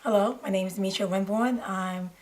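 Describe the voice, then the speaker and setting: A woman in her twenties, a patient, introducing herself in a measured way in a documentary interview. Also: mid-range voice, steady tone, darkish tone, a little hiss or hum. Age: 20s.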